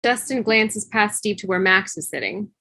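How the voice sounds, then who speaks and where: A woman's voice: medium pitch, normal speed, creaky, pushed and bright, no room sound. Woman narrating a script, dry sound. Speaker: narrator reading stage directions. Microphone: video call.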